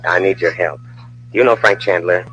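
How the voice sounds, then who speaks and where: A man playing a tough, wry American Secret Service agent with a husky voice, in old-time radio style on a vintage recording. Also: sits low, fairly quick, sharp tone, muffled recording, slight background noise. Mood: businesslike, low.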